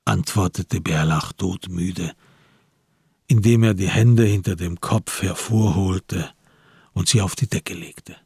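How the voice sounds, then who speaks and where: A man as the sober narrator of a radio crime drama, telling the story in measured literary prose. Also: deep voice, creaky, warm tone, slightly rough, no room sound, studio quality, full character voice.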